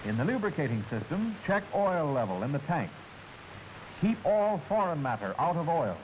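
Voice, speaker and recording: An American man narrating a 1940s military training film in a formal, clearly enunciated, instructive manner, on an old film soundtrack. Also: fairly high pitch, a little mellow, slight background noise, poor audio.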